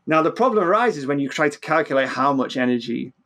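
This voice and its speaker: Male interview guest, slightly high voice, conversational explainer who stammers over his starts. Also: expressive, quick pace, a bit bright. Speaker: physicist guest. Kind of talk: animated explanatory interview talk.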